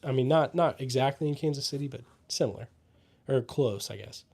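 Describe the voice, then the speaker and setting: A young man from the American Midwest hosts a laid-back livestream, answering viewers' questions as he reads through the chat. His voice is dark and mellow, and he talks in an unhurried way, trailing off with "uh" and "let's see" as he scrolls. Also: medium pitch, breathy edge. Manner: relaxed, trailing fillers, pauses to read comments. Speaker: livestream host reading chat.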